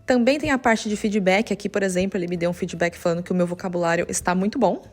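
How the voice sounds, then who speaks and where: A woman's voice: fairly low pitch, quick pace, roomy sound, faint music behind. A Brazilian woman with a Brazilian Portuguese accent, chatting casually to camera as a vlogger and dropping frequent filler tags.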